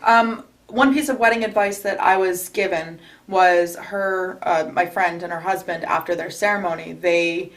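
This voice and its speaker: A woman's voice: on the low side, fairly even intonation, moderate pace, few pauses. A young woman, a recent bride from New York state, giving casual interview advice in a chatty way with frequent restarts. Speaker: recently married bride, guest.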